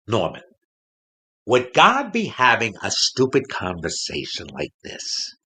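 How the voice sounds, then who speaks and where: A man playing a gullible wooden ventriloquist dummy sidekick in a comic audio play, hesitant, agreeable and easily amazed, with a husky voice. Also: fairly low pitch, huge pitch swings, slow pace, bright, forceful tone, exaggerated voice. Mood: agreeable, puzzled.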